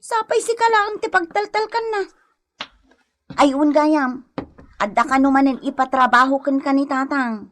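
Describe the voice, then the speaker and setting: Woman acting exasperated wife, high voice, scolding, complaining, comic radio drama. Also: moderate pace, long pauses, lightly acted. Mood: nagging. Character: nagging, exasperated wife of a gambler.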